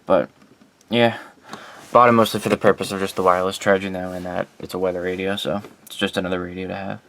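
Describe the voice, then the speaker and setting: A man's voice: low voice, level tone, quick pace, gravelly, a bit bright, slight background noise. A man casually and informally presenting his collection in a rambling, unscripted vlog.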